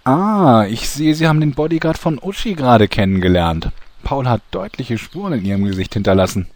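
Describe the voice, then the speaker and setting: A man's voice: medium pitch, lively intonation, a little fast, a little mellow. A man narrating a comedy audio drama with a wry, smug manner.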